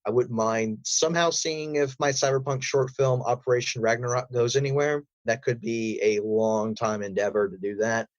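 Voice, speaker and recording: A man with a mid-pitched voice chats casually as an interview guest, explaining things in a conversational way. The recording is close and dry, with no room sound. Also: fairly even intonation, normal speed, hardly any pauses, dry recording. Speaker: voice actor, podcast guest.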